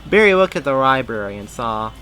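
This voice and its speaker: A man's voice: medium pitch, sing-song, brisk, sharp tone, soft background music. A man reading a story aloud as narrator in an emphatic way, now and then stumbling and rereading a phrase.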